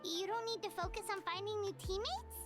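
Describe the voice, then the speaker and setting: A tiny floating companion girl, squeaky, extremely high, chatty, loud game music. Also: expressive, brisk. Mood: curious. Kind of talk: game cutscene voice acting. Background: loud game soundtrack.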